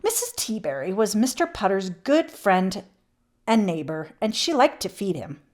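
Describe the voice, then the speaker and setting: Woman, storybook read-aloud, very lively intonation, expressive, emphatic swells and hushed drops. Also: medium pitch, moderate pace. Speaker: children's read-aloud channel host.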